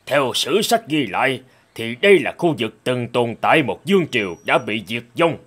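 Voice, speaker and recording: A man playing a careful archaeologist leading a dig in an animated fairy tale, with an instructive manner. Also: mid-range voice, moderate pace.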